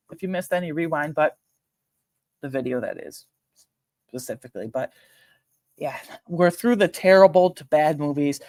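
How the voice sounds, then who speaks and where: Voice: medium pitch, dry recording. A video host giving casual, rambling film commentary to viewers, emphatic and slangy with frequent asides.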